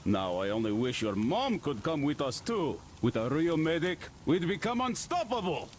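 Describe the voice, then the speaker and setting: A man's voice: on the high side, very animated, normal speed, slight background noise. An actor playing an easygoing male soldier, friendly and jovial, in a video game. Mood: admiring.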